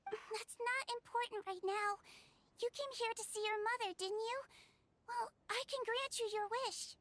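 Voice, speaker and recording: A woman voicing a small, calm, kindly non-human girl nurse in a video game, speaking in a measured, reassuring way. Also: very high voice, no room sound. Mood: calm, assured.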